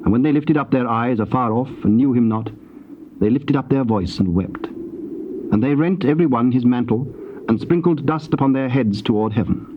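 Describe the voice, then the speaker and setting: A British man reads scripture as narrator. His delivery is measured and solemn, in a mid-range voice, on dull tape with clear hiss. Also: mid-range voice. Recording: dull hissy cassette tape.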